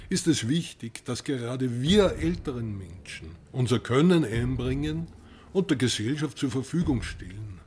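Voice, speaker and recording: A man's voice: mid-range voice, darkish tone, a little hiss or hum. A man of sixty-seven delivering a prepared personal testimonial. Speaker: member of senior activist group.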